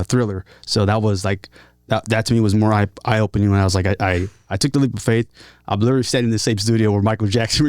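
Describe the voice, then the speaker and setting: A man shares personal stories in a casual interview. He speaks earnestly and haltingly, often repeating words as he goes. The sound is close and dry. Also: on the low side, smooth, no room sound. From San Diego, California. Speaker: interview guest, talkbox musician and rapper.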